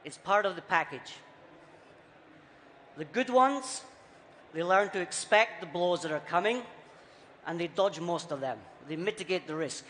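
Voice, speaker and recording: Scottish man, high voice, echoing hall. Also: animated, unhurried, long pauses, fairly bright tone. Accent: Scottish, softened by years abroad. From Scotland. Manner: rhetorical, repeats phrases for emphasis, punchy short sentences. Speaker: conference speaker. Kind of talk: keynote talk on stage. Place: large conference hall. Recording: reverberant hall, faint background noise.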